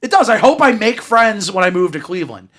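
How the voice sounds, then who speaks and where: A man's voice: high voice, very animated, brisk, a bit bright. A man chatting casually as a podcast host, with a mock-indignant, sweary manner.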